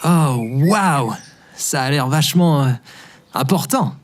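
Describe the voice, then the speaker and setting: A man's voice: on the high side, slow, slight background noise. A man playing a friendly, easygoing caller in an audio comedy, a little hesitant and teasing. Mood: friendly, bemused. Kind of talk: scripted audio comedy fiction acting.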